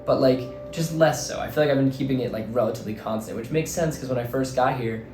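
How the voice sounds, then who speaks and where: Slightly hoarse young man rambling earnestly. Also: mid-range voice, fast talker. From New Jersey. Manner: rambling, filler-heavy, earnest. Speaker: vlogger and teacher. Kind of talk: casual reflective monologue. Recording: roomy echo, faint background music.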